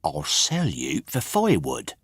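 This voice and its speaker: A mercenary, grumpy man from a storybook, performed by a male narrator. He mutters and complains indignantly, with lively shifts of pitch and a grasping air. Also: unhurried, crowd noise, full character voice. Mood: annoyed.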